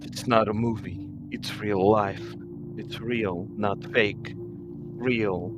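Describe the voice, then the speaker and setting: A man's voice: lowish voice, steady tone, slow, muffled recording, over music. A man giving casual, joking commentary as co-commentator on a game. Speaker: let's play co-commentator.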